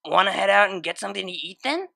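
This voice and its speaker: Narrator playing a breezy, animated young unicorn. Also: medium pitch, fast, gravelly. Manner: casual, slangy, breezy. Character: laid-back, teasing young female unicorn DJ.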